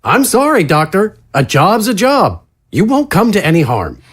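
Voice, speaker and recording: An apologetic, resigned male scientist, played by an actor in an audio drama. His voice is fairly high and varies widely in pitch, with a reluctant, evasive manner. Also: huge pitch swings, normal speed, fairly bright tone, breathy edge, full character voice.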